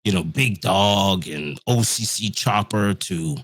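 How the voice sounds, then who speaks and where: A man from England with a low voice, rambling loosely as a podcast guest and often restarting his sentences. The sound is dry and close. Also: takes it slowly, a bit bright, dry recording. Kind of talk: casual podcast chat.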